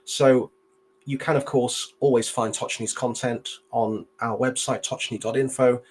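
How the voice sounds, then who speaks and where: A man's voice: medium pitch, steady tone, measured pace, slight background noise. A man hosting a live stream interview, polite and formal, with occasional fillers. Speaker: host.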